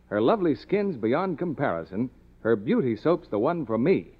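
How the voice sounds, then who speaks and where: A man's voice: medium pitch, sing-song, moderate pace, slightly rough. An American man announcing a scripted 1950s radio commercial in a polished, salesmanlike manner. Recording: slightly dull vintage radio recording.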